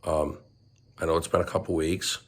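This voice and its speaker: Hoarse, lower-pitched New guy hosting a rambling garage vlog, lots of ums. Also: level tone, normal speed. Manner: rambling, frequent ums and coughs. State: hoarse from laryngitis. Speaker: channel host, car restorer. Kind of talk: casual vlog update.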